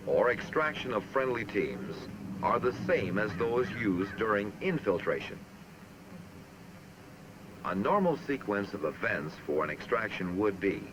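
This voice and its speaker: A man narrating a military training film in a formal, measured, instructive manner, reading from a script. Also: on the low side. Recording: muffled, noisy old film soundtrack.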